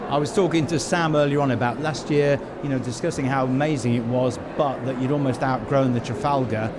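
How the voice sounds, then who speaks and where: A man's voice: mid-range voice, steady tone, fairly quick. A man hosting a casual backstage podcast interview at a busy event. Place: busy public venue at an awards event. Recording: very loud crowd chatter behind. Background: loud crowd and venue noise.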